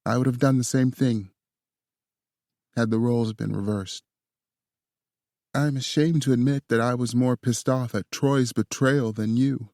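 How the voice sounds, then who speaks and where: A male reader raising his voice to play a forgiving young woman, softer and higher than his narration. She speaks slowly and heartfelt. The sound is dry and close. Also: creaky, dry recording.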